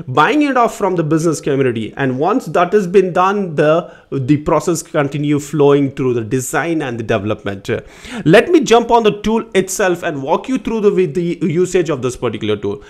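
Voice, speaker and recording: A man in his thirties hosting his own channel, explaining data concepts to camera in an eager, emphatic way from a small home studio. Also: fairly high pitch. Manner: eager, emphatic, lively delivery with hand gestures. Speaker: data educator and channel host. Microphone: studio mic on boom beside him.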